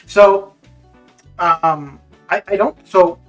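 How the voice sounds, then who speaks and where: A live streamer of middling pitch, chatting casually and often amused at their own remarks. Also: steady tone, extremely slow. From Canada, born in the Caribbean. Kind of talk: casual chat while streaming. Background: music with guitar.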